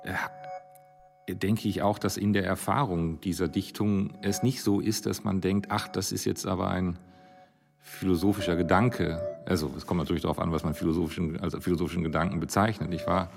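Very low gravelly man, halting reflective commentary, repeated phrases. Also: fairly even intonation, music in the background. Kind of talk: spontaneous reflective discussion.

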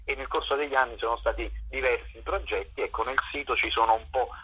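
A man's voice: mid-range voice, fairly even intonation, fast talker, breathy edge, phone-quality sound. A man giving a radio interview as a theatre director, talking expansively and searching for words in long winding sentences. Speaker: guest, theatre author, director and actor. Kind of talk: radio interview, spoken off the cuff.

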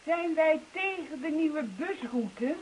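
A woman's voice: sits high, expressive, takes it slowly, darkish tone. An actress playing an exasperated wife in a radio play, calling out to her husband. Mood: calling, pointed. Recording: noisy, hissy old radio recording.

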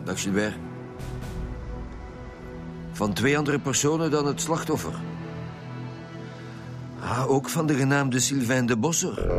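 Gruff, impatient Flemish detective boss, acted by a man. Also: mid-range voice, close to an everyday voice. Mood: sardonic. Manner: gruff, pressing, sarcastic. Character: impatient, sardonic male chief detective. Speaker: lead police detective. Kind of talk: radio drama acting.

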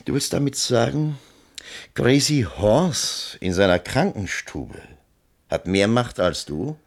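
An actor playing a scheming army colonel in a German radio drama, commanding, coaxing and insinuating. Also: male voice, medium pitch, expressive, slow. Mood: persuasive.

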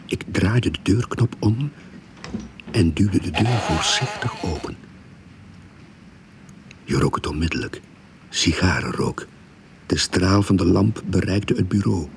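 An actor playing the first-person narrator of a suspense radio drama, telling it in a hushed, tense way. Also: male voice, deep voice, expressive, takes it slowly, gravelly, long pauses, heavily acted. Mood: suspenseful. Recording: faint background noise.

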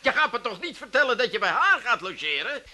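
An actor playing a lovelorn, easily wound-up lodger who whines and protests, in a comedy radio play. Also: male voice, extremely high pitch, sing-song, brisk, bright, forceful tone, full character voice. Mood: outraged. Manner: plaintive, whining.

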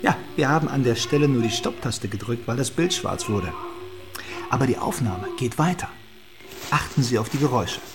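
A man plays an eager young male detective in a German radio crime drama. He speaks at a middling pitch in a conversational, insistent way, with a wry, teasing edge. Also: animated, moderate pace, close to an everyday voice. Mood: explaining. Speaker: police detective.

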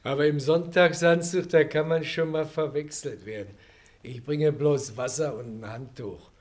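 A man's voice: on the high side, steady tone, normal speed, lightly acted. An actor playing a chatty, officious school caretaker in a radio play, folksy and wry as he recites the rules. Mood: jovial, officious. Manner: folksy, hesitant storytelling mixed with formal recital of rules.

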